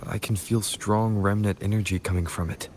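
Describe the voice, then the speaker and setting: An actor playing a composed, terse wandering hero in a fantasy video game. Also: male voice, lowish voice, steady tone, normal speed, noisy background, natural voice. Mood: composed, probing.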